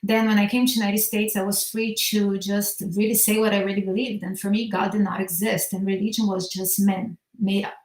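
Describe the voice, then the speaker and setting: A woman from Brazil with a Brazilian accent tells her story in an earnest tone, now and then restarting a sentence. Her mid-pitched voice echoes through the room around her. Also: little pitch movement, brisk, few pauses, a little mellow. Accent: Brazilian Portuguese. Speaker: guest. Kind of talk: personal testimony in conversation.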